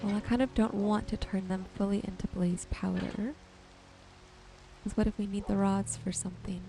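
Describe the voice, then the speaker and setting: A woman giving relaxed ASMR-style gameplay commentary, gently thinking aloud without hurry. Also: medium pitch, normal speed, soft background music. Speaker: gaming video host.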